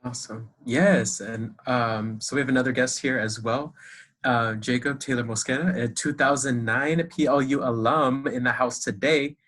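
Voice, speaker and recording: A man's voice: medium pitch, steady tone, normal speed, fairly bright tone. A man co-hosting a podcast over a video call, upbeat and chatty, with frequent fillers. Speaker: co-host.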